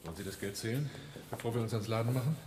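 An actor playing a sly, self-assured arms dealer in a German radio drama, with a suave, dryly ironic manner. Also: male voice, mid-range voice, rapid-fire, background noise, close to an everyday voice. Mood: dry, relaxed.

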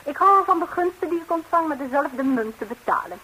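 An actress playing a composed, sharp-tongued betrayed wife in a 1960s radio comedy, answering with cool, pointed deliberation on a hissy recording. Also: female voice, high voice, expressive, fairly quick, poor audio. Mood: dry, vengeful.

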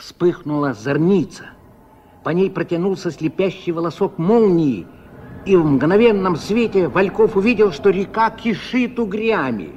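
A man giving measured, expressive literary narration in the style of 1970s Soviet radio drama. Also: high-pitched. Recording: dull, faintly noisy old radio recording.

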